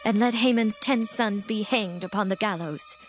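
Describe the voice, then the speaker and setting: A woman voicing a young queen making an earnest petition to a king in soft, respectful tones. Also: mid-range voice, moderate pace, faint music behind, close to an everyday voice. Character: young adult queen pleading before a king. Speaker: voice actor. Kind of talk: dramatized scripture reading.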